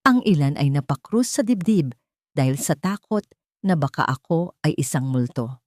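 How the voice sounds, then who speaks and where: A woman's voice: lively intonation, normal speed, darkish tone, no room sound, studio quality. A woman with a Filipino accent narrating a dramatic audio story in the first person, lifting her voice for the characters' lines. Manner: rises in pitch and volume for quoted dialogue.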